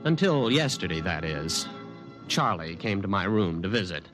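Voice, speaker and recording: A man's voice: deep voice, sing-song, moderate pace. A man acting a frantic, haunted young man in a 1950s radio drama, agitated and theatrical. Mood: rueful narration. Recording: old radio drama, music underneath.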